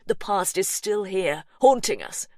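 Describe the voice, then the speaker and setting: A woman's voice: medium pitch, expressive, measured pace, darkish tone, dry recording, clean studio sound, natural voice. A woman reading the lines of an anguished man pleading with his family, in an earnest, urgent manner.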